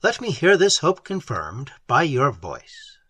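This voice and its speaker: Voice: medium pitch, wildly swooping pitch, takes it slowly, a bit bright, slightly rough, no echo, full character voice. A reader voicing an earnest, mournful young man in an audiobook, asking to call again. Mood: pleading. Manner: earnest, pleading.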